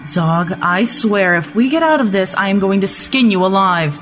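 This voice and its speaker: A woman's voice: medium pitch, moderate pace, faint music behind, natural voice. A woman playing a stern, exasperated starship commander in a sci-fi audio drama, curt and authoritative. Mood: angry.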